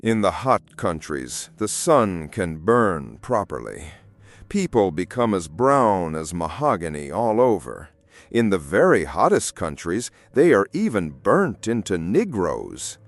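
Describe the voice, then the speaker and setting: Male audiobook narrator, lowish pitch, very slow deliberate pace, hugely varied intonation, expressive fairy tale storytelling. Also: no echo.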